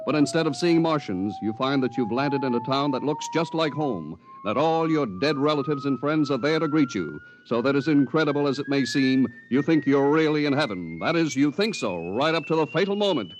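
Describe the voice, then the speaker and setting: A man's voice: medium pitch, expressive, a little fast, hardly any pauses, dull audio, a little hiss or hum. A man announcing in polished, dramatic 1950s radio style, reading a script. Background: music.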